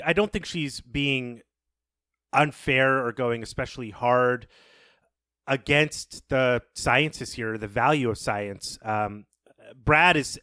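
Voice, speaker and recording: A man co-hosting a literary podcast, talking through his analysis in a reflective, halting way as he searches for words. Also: mid-range voice, pushed and bright, no echo, studio quality. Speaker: podcast host. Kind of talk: literary discussion, thoughtful analysis.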